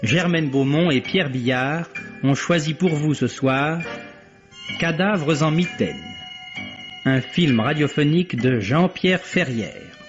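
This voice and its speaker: A man solemnly reading scripted credits as a radio drama announcer, with deliberate, formal diction. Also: a little high. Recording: dull vintage radio, loud music. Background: prominent theme music.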